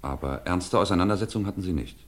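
An actor playing a composed, probing police commissioner in a German radio crime drama, speaking in a measured, courteous way. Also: male voice, low voice, natural voice. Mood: formal, probing.

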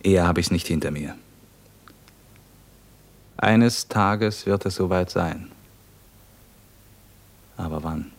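An actor playing a stern, menacing revolutionary military commander in a 1950s German radio play, speaking in a measured, sardonic, interrogating manner. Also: male voice, mid-range voice, very animated. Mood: brooding, hushed.

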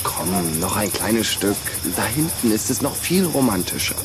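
A man's voice: fairly low pitch, very animated, moderate pace, noisy background. A male performer playing a cunning, secretive schoolboy in a radio play, talking in a precocious, mysterious manner. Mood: coaxing, assured. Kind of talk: German radio play acting.

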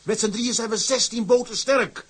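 Husky, impassioned sea captain. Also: male voice, very high voice, moderate pace, a bit bright, exaggerated voice. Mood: fierce, urgent. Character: fierce, driven tugboat captain fighting for a free sea. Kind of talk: Dutch audio drama acting.

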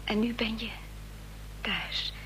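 Anxious young woman, bright tense voice, slow delivery, radio play, light hiss. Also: fairly high pitch. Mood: hushed, amazed. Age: young adult. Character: earnest, anxious young woman, loyal confidante. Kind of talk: Dutch radio drama acting. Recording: noisy, humming old radio recording.

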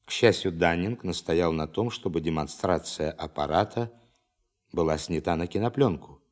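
An actor playing an authoritative official giving a formal, emphatic briefing to scientists in a radio play. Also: male voice, deep voice, lightly acted. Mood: measured, formal. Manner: formal, emphatic, commanding, dramatic pauses. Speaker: presenter of a demonstration.

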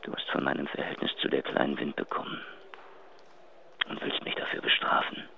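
An actor playing a cruel, contemptuous adulterous man in a German radio drama, taunting with mocking, drawn-out emphasis. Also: extremely low pitch, little pitch movement, creaky, a little hiss or hum, full character voice. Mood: sneering, cruel. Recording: tinny narrow phone-like sound.

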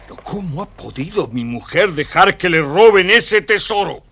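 An actor playing an imperious, excitable sultan in a theatrical children's story recording, commanding and exclamatory. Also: male voice, high-pitched, moderate pace, exaggerated voice. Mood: astonished, indignant.

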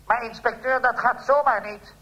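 A smug blackmailer speaks with polite, calm menace in a radio parody. The voice is extremely high and piercingly bright. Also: male voice, moderate pace, dull audio. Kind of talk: comic radio play acting.

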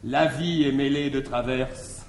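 An actor playing a cunning, cheeky servant in a classical French stage farce, with exaggerated, declamatory delivery. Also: male voice, mid-range voice, steady tone, a little slow, lightly acted. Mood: mock philosophical. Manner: exaggerated, declamatory, swinging from wounded to mocking. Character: cunning, cheeky, theatrical male servant and schemer. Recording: echoey, faintly noisy 1960s stage recording.

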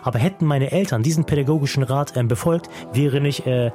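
A young man telling his own life story with earnest reflection, pausing often with fillers. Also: mid-range voice, warm tone. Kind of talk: personal storytelling in a radio feature. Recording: music bed behind the voice.

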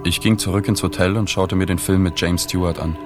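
An actor playing a reflective, reserved journalist narrating a radio drama in a measured, sober, understated way. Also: male voice, deep voice, fairly even intonation, lightly acted. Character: reflective, reserved male journalist recalling a dying woman. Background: music.

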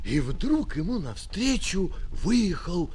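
A man narrating a children's fairy tale as an expressive storyteller. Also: sits high, slow, very noisy. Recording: music on a 1970s children's flexi-disc.

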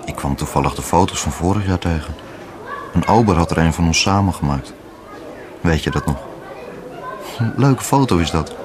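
Low-voiced wistful ex-lover. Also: male voice, lively intonation, normal speed, pauses often, noisy background. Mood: fond. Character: Gerard, wistful man clinging to his former lover. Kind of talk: naturalistic radio drama dialogue.

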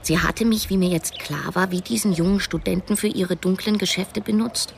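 Low, creaky-voiced wry female narrator. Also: moderate pace, a little hiss or hum. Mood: indignant. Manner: wry, introspective. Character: cash-strapped woman narrator, wry, conflicted amateur sleuth. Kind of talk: first-person radio drama narration.

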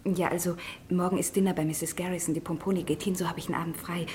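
Actress as playful, knowing young secretary. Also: female voice, on the low side, steady tone, fast talker, a little mellow, slight background noise, close to an everyday voice. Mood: discreet. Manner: playful, lightly ironic, knowing. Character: clever young female secretary, gently teasing. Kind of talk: German radio play acting.

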